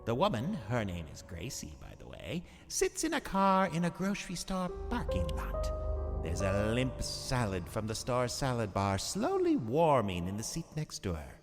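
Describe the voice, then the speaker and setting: Old warlock, gleefully sinister, theatrical radio storyteller, cavernous reverb, music behind. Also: male voice, medium pitch, wildly swooping pitch, moderate pace. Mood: ominous storytelling. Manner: theatrical, macabre, mockingly cheerful. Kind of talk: theatrical horror host narration for radio drama.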